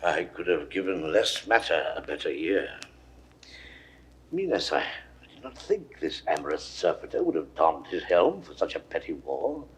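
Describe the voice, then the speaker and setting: An actor playing a proud, confident, scornful Roman rebel leader in a 1960s Shakespeare audio drama, with emphatic oratorical delivery. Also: male voice, sits low, very animated, moderate pace, slight background noise, rough recording. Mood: resolute. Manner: oratorical, emphatic declaimed verse.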